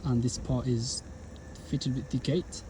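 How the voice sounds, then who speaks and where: A man presenting an installation as a product walkthrough, speaking deliberately in short, halting phrases. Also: mid-range voice, steady tone, noisy background. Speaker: gate installer presenting his work.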